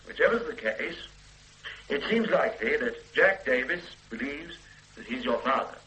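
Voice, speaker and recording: An actor in a radio drama playing a police superintendent who questions people in a calm, formal and persistent way. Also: male voice, mid-range voice, normal speed, low-quality recording. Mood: measured. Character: measured, dogged police superintendent. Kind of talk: 1980s radio drama acting.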